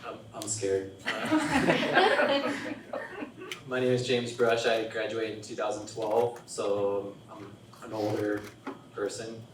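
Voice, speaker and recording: A soft-spoken man speaking on a panel, hesitant and stammering, with self-deprecating humor. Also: lowish voice, expressive, slow pace. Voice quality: quiet voice. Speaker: panelist, software designer. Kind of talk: panel discussion. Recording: echoey hall, distant mic, noise.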